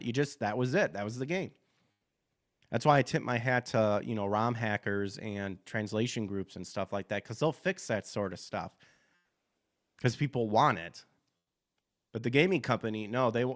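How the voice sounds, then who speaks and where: A hoarse man hosting a gaming podcast, reading news articles aloud and breaking into blunt, profane asides full of fillers. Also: fairly low pitch. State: hoarse from allergies. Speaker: podcast host.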